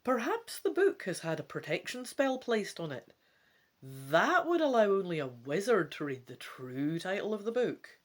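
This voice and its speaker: A woman hosting a gamebook playthrough, reading dramatically in an expressive, playful way with casual asides. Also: medium pitch, moderate pace. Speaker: host of a gamebook let's play.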